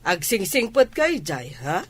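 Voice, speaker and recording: A woman's voice: medium pitch, very animated, measured pace, slight background noise. Casting: actress as well-to-do mother and boss, mid-range voice, audio drama dialogue. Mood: firm. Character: well-off mother and boss sending her child abroad.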